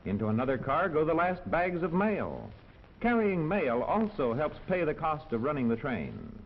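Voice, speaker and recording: A man narrating a 1940s educational film in a measured, instructional manner, on a muffled old soundtrack. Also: on the high side, wildly swooping pitch, slight background noise. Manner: clear, measured, instructional delivery.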